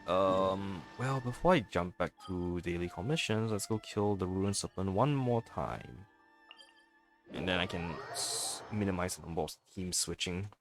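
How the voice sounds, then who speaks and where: A man with a low voice hosts a casual gaming stream. He talks to himself as he plays, thinking aloud in an offhand way, with music running behind him. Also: measured pace. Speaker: live-streaming gamer, host. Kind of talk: casual let's-play commentary.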